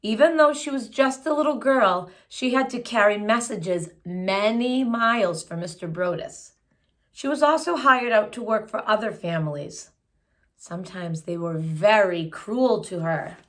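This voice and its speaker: A woman's voice: mid-range voice, animated, measured pace, fairly bright tone. A woman reading a children's picture book aloud, phrasing it expressively and adding simple explanatory asides for young listeners. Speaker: children's story reader.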